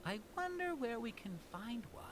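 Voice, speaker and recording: A man's voice: very high voice, big pitch swings, normal speed, slight background noise, exaggerated voice. A man playing an easygoing, friendly bazaar regular in an anime video game, agreeable in manner. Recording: loud game music underneath.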